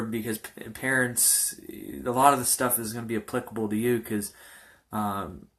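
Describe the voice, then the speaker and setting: A man in his early twenties, a pastor, telling his personal testimony in a halting, earnest way with many fillers and restarts. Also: lowish voice, fairly bright tone. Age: young adult. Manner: earnest, informal.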